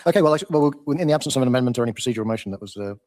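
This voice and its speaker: Man, council chair, formal, hesitant, quick pace. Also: medium pitch, animated, a little mellow. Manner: hesitant, repeats words.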